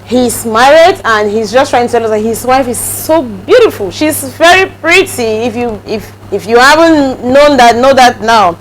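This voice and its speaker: High-voiced Cameroonian woman hosting. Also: expressive, normal speed, few pauses, slight background noise, poor audio. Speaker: talk show host. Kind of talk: casual interview.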